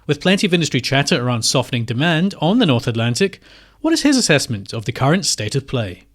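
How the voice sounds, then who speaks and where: A man's voice: medium pitch, very animated, fast, fairly bright tone. A man hosting a podcast, reading scripted narration and introductions. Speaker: podcast host.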